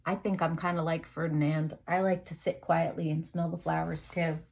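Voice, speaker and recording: A woman teacher reading a picture book aloud to young children, expressively, with dramatic emphasis, pauses and asides to her listeners. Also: low voice, level tone, vocal fry, noisy background.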